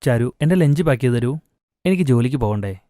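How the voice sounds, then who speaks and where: A man's voice: mid-range voice, animated, fast, dry recording, lightly acted. A man voicing a kind young husband who coaxes and reassures his wife. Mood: casual. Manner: gentle, coaxing.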